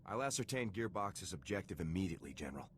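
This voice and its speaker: An actor playing a resolute, dutiful lieutenant in a video game, terse and confident. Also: male voice, low-pitched, animated, fast, slight background noise. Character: resolute, dutiful male military lieutenant hero. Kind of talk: scripted video game dialogue. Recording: clear music behind the voice.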